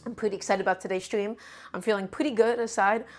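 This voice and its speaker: A woman's voice: mid-range voice, fairly even intonation, quick pace, soft background music. Sniffly, chatty woman hosting a cooking stream. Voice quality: a bit nasal. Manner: upbeat, chatty, greets chat by name. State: slightly sick and sniffly. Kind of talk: live-stream cooking lesson.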